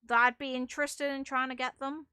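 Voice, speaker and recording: A woman's voice: high voice, flat delivery, brisk, sharp tone, smooth, dry recording, studio quality. A woman doing casual, rambling gaming commentary in a frank, casually sweary way, like a streamer talking to viewers. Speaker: game streamer.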